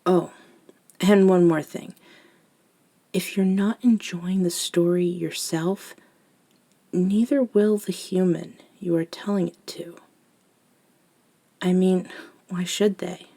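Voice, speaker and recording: A gentle elder vampire woman voiced by a female ASMR performer mentors in a soft, breathy near-whisper. Her voice is low and mellow, and she takes her time with long, soothing pauses. The roleplay delivery is patient and reassuring. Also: steady tone.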